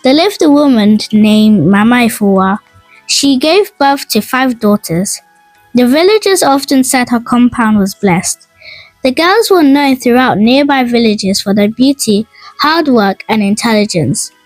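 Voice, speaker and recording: A woman narrating a scripted folk tale in a dramatic, expressive and measured storytelling manner. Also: fairly high pitch, no echo, poor audio. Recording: dry voice over music. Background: music.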